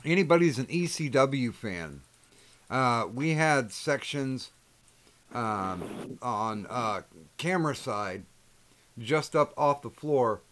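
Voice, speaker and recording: A man hosting a casual chat, loud and profane, with rambling restarts. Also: medium pitch. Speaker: host.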